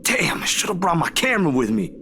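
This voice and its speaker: A man's voice: fairly high pitch, sing-song, fairly quick, sharp tone, a little hiss or hum. An actor playing an easygoing, cheeky young man in a visual novel, casual, teasing and reassuring.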